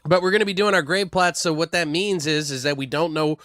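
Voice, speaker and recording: A man's voice: fairly high pitch, steady tone, brisk, a bit bright, dry recording, studio quality. A man co-hosting a podcast with casual, profane, teasing banter. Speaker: podcast co-host.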